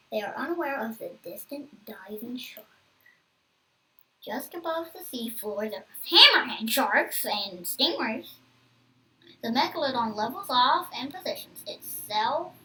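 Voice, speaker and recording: A child reading a book aloud with casual asides, halting and stumbling over hard words. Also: high-pitched, sharp tone, recorded from a distance, rough recording.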